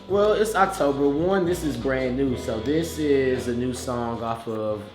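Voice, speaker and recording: A man in a relaxed, laid-back casual chat. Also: medium pitch, measured pace. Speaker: guest. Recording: echoey room with music.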